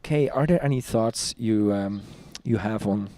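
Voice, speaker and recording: Hesitant, chatty man with a mellow voice. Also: fairly low pitch, normal speed. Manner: hesitant, chatty, laughs often. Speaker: vlog host, singer-songwriter. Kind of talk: casual conversation.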